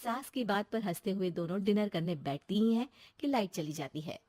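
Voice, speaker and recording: A woman's voice: mid-range voice, expressive, moderate pace, very noisy. A woman narrating a scripted children's story in the present tense.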